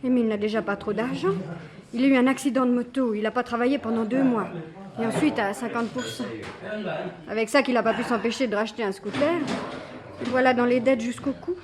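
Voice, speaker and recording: Woman acting sweet young waitress in a radio play, high voice, cafe crowd behind her. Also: fairly even intonation, a little fast, close to an everyday voice. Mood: worried. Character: friendly young bistro waitress, sweet and sensible. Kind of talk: French radio drama acting. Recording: busy café chatter behind. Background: café crowd chatter.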